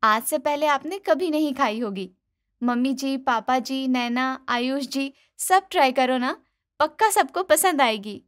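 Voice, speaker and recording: A woman acts out a young bride in a cartoon family story. Her voice is high, eager and expressive, made for coaxing her in-laws and sulking a little when hurt. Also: a little slow. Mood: excited, proud. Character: eager young daughter-in-law, cheerful, pleading, easily hurt. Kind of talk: animated moral story dialogue.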